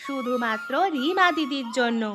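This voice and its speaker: A woman voicing a perky, outspoken young village girl in a cartoon, lively and direct. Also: high-pitched, moderate pace, over music. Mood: cheeky. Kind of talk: cartoon story dialogue.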